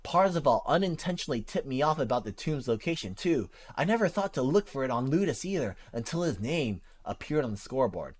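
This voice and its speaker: A reader voicing an easygoing young male gamer who talks in a relaxed, casual way. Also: mid-range voice, brisk, few pauses.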